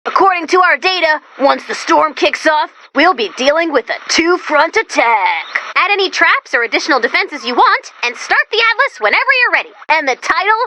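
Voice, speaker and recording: A perky female robot helper from a video game, with a high, very animated voice. She is chatty and theatrical, flipping from big announcer hype to dry deadpan. Some noise sits behind the lines. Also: a little fast, hardly any pauses, full character voice. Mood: brisk briefing.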